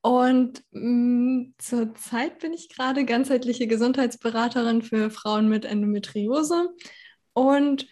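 A woman in her early thirties speaks in a fairly high voice as a podcast guest. She is casual and informal, thinking aloud with some hesitation as she goes. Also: fairly even intonation, slow pace, darkish tone. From Berlin, Germany. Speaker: podcast guest, holistic health consultant. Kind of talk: casual interview talk.

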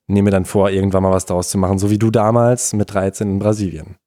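Man hosting a casual interview, friendly teasing questions, low voice. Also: little pitch movement, fast talker, warm tone, dry recording, clean studio sound.

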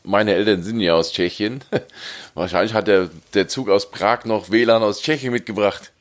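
A man co-hosting a casual tech podcast, relaxed and quick to laugh as he explains the news. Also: fairly low pitch. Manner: laughs easily, relaxed banter. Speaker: podcast co-host.

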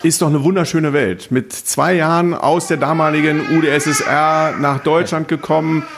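A middle-aged man hosting a talk show, asking his guests questions in a warm, chatty way. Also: on the high side, steady tone, normal speed, slight background noise.

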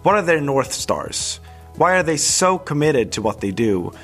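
A man's voice: a little high, big pitch swings, unhurried, fairly bright tone, faint music behind. A man from Sweden hosting a podcast, reading a scripted intro and then chatting loosely with fillers. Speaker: podcast host. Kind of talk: podcast interview.

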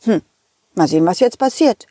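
A woman voicing a cheerful, excitable, loyal dog who tells stories to children in a playful, chatty way. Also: medium pitch, normal speed, dry recording, clean studio sound, voice pushed down, natural voice. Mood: curious, amused. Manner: playful, chatty, giggly, addressing children directly. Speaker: puppet character host. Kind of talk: children's audio story narration.

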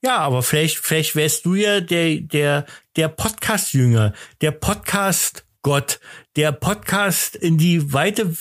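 A man hosting a podcast in casual chat, halting and repeating words as he searches for phrasing. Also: a little high, lively intonation, fairly bright tone, dry recording, studio quality. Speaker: podcast host.

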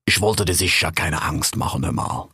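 A man plays a blustery old monkey king with a thick lilt, a very deep, husky yet bright voice that rambles on. His talk is dotted with "hör mal", recorded dry and close. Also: moderate pace, dry recording, heavily acted. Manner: dialect-heavy rambling, says "hör mal" often.

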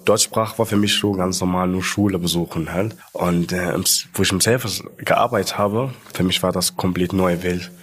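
Young man, low voice, hesitant and simple in his phrasing, interview guest. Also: fairly even intonation, normal speed, hardly any pauses. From Sudan, raised in Libya. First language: Arabic. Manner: hesitant, simple learner's German. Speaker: trainee, shift leader.

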